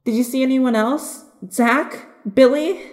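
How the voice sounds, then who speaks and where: A woman's voice: high voice, unhurried, darkish tone. A woman playing a frightened young female hero held captive in an audio drama, earnest and worried. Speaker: voice actor.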